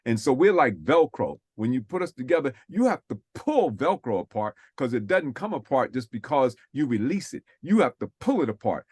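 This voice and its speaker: A man's voice: mid-range voice, very animated, normal speed, hardly any pauses, a little mellow, breathy edge, no room sound. Earnest New man, preacherly talk. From New York City. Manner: earnest, repeats phrases, preacherly. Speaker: radio show host. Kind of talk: conversational faith talk.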